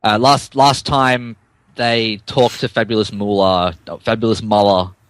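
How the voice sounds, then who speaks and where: A man's voice: lowish voice, fairly even intonation, unhurried. A man co-hosting and narrating a comic dramatic reading, mock-dramatic and often stumbling over his words. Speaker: podcast co-host and narrator.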